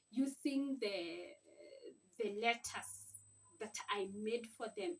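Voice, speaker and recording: A woman giving an informal reading lesson to parents, explaining deliberately and posing questions as she teaches. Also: a little high. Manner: deliberate, sounds out letters and words, asks rhetorical questions. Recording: slightly distant microphone.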